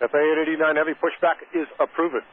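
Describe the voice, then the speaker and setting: A male ground controller speaks quickly in a slightly high voice over a muffled aviation radio. He gives clearances in a wry, joking way, with faint noise on the link. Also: flat delivery. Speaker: air traffic ground controller. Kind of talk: radio air traffic control talk. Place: air traffic control radio frequency.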